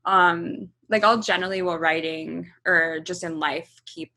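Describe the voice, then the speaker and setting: A woman in her thirties who grew up in Hong Kong, a musician answering interview questions in a relaxed way, thinking aloud with frequent ums and likes. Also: on the low side, normal speed.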